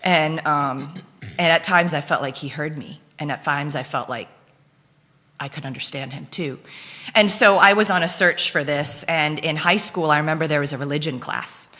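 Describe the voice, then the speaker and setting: Chatty woman, low voice, hall echo. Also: moderate pace, pushed and bright. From Philippines. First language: Dutch. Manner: chatty, laughs often, lots of fillers. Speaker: guest sharing her testimony. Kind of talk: casual conversational testimony. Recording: long hall reverb.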